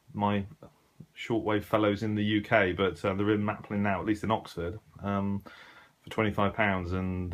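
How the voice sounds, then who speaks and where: A British man with a low-pitched voice talks casually through a hobby video. He is unscripted and conversational, often hesitating and rambling as he explains his kit. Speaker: shortwave radio hobbyist presenting his gear.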